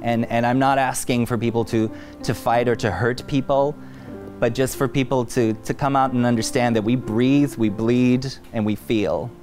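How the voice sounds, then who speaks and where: Guy, drag performer, lively storyteller, medium voice, music going in the background. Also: medium pitch, moderate pace. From South Africa. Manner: animated, expressive storyteller. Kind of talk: casual interview chat. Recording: music playing behind.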